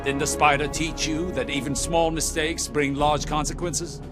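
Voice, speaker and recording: A man's voice: fairly high pitch, level tone, moderate pace, lightly acted. A man playing a shrewd, coolly reproving spymaster in a video game, clipped and authoritative. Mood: stern reproof. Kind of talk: dramatic video game voice acting. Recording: dramatic music behind the voice.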